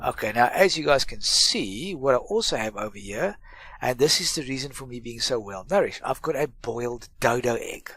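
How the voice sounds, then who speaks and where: A man's voice: mid-range voice, lively intonation, normal speed, fairly bright tone, husky, no room sound. A middle-aged man talks through a game tutorial with a stuffy nose from a cold. He rambles cheerfully and jokes at his own expense, in a mid-range voice. The recording is dry and close, with no room sound. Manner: chatty, self-mocking, rambling asides. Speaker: gaming channel host. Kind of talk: casual gameplay tutorial.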